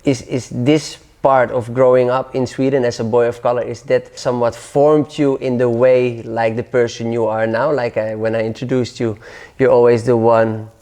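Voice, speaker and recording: Casting: male host from the Netherlands, easygoing mid-pitch voice, friendly questions. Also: little pitch movement, normal speed, hardly any pauses, dark tone. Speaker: interview host. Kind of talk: conversational interviewing. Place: dim studio lounge with sofa.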